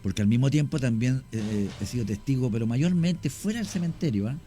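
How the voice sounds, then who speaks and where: A man's voice: medium pitch, lively intonation, a little fast, mellow. A middle-aged man with a Chilean accent, a guest on a radio show, telling his story in a rambling, self-correcting, explanatory way. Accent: Chilean Spanish. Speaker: guest, longtime cemetery worker.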